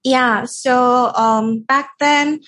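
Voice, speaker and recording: A woman's voice: high-pitched, steady tone, fairly bright tone. Japanese accent woman in her 30s, chatting as a guest, lots of um and like. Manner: halting, many fillers and restarts. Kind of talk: casual interview chat.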